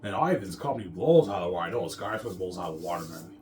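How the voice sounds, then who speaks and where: A man's voice: low voice, expressive, racing, a little mellow, a little hiss or hum. A man in a casual, rambling monologue, boastful and repetitive as he circles back over his phrases. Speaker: self-styled film maker talking about his movie projects.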